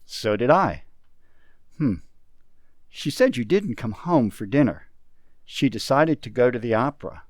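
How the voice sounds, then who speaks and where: A man playing a brisk, practical, insistent business associate in an old-time radio drama. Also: medium pitch, expressive, darkish tone, no echo, studio quality, close to an everyday voice. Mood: brisk, concerned. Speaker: amateur community theater actor.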